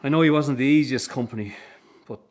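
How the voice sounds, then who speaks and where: An actor in a radio drama playing a weary, earnest circus boss and troubled son, with an Irish accent. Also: male voice, medium pitch, fairly quick, close to an everyday voice. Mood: sombre.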